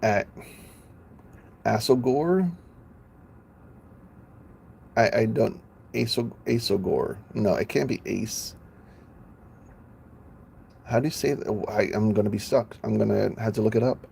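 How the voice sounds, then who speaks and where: Slow-talking gravelly male streamer. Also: on the low side, a little mellow, a little hiss or hum. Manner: playful, laughs often, stumbles over names. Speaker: live streamer. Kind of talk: casual live-stream chatter.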